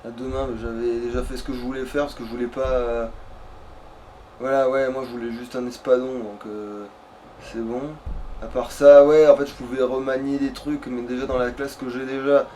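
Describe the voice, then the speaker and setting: A man with a mid-pitched voice commentates a video game casually. He rambles, uses slang and swears freely. There is slight noise in the background. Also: little pitch movement, moderate pace, warm tone, rough recording. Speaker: let's play gamer.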